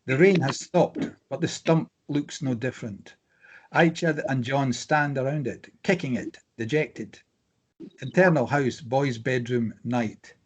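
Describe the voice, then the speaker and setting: A man reading screenplay stage directions aloud as scripted narration, with a slightly husky voice. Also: medium pitch, unhurried, slight background noise.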